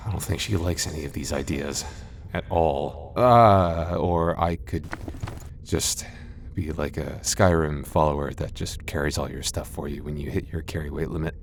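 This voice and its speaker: A man playing a timid, soft-hearted young knight in an ASMR fantasy roleplay, stammering nervously and putting himself down. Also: extremely low pitch, steady tone, a little slow, vocal fry, slight background noise, heavily acted. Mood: sheepish, deflated. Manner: stammering, self-deprecating, nervous.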